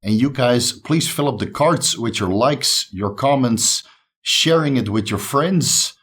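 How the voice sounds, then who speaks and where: A man's voice: mid-range voice, a little slow, fairly bright tone, breathy edge. A man from the Netherlands with a Dutch accent and a slight speech impediment, talking casually and upbeat to his viewers as a YouTube host. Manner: upbeat, encouraging. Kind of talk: casual talk to camera.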